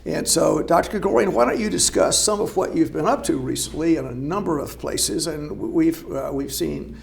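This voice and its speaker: An older man hosting the evening, introducing a guest in a courteous, unhurried way. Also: a little high, gravelly, a little hiss or hum. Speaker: host. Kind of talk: formal introduction.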